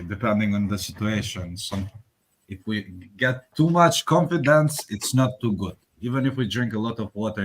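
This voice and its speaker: A man with an Albanian accent co-hosts a live online workshop over a video call. His voice sits fairly low, and he speaks earnestly, often stopping and restarting as he searches for the right words. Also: fairly bright tone. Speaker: workshop co-host.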